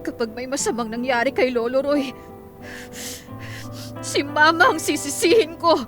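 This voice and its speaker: An actress with a Filipino accent playing a bold, emotional young daughter in a radio drama. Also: female voice, high voice, unhurried, over music. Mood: hurt, resentful.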